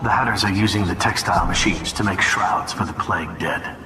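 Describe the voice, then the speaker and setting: A man's voice: low voice, a little fast, lightly acted. An actor playing a dutiful assassin underling in a video game, briefing his master formally. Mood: cautious, dutiful. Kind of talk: video game cutscene acting. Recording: distant, echoey, noisy background.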